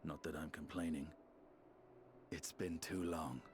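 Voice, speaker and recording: A man playing a courteous fantasy adventurer in a video game, with courtly, expressive delivery. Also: very deep voice, unhurried. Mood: wry warmth. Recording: loud music underneath. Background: game music.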